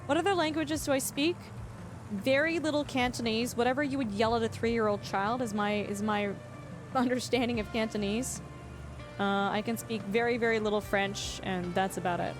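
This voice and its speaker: A woman's voice: a little high, fairly even intonation, normal speed, music in the background. A woman livestreaming a game, chatting casually with viewers in a rambling way, restarting her sentences and laughing easily. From Canada. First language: English. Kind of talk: casual chat with viewers while playing.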